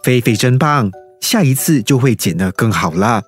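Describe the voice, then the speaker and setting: A man playing a gentle, encouraging young father in a children's audio story, speaking in a caring, reassuring way. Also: mid-range voice, animated, normal speed, husky. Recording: children's music playing loudly behind.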